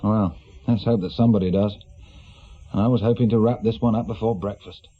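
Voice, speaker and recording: Terse English senior detective, slightly muffled. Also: male voice, fairly low pitch, steady tone, brisk, breathy edge. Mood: sceptical. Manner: terse, authoritative, questioning. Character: brisk, sceptical senior police detective in command. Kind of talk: British radio drama acting.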